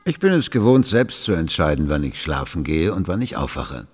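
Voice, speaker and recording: An actor playing a proud, deliberate and evasive philosopher in a radio play. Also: male voice, extremely low pitch, big pitch swings, husky, heavily acted. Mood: indignant. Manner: deliberate, pondering.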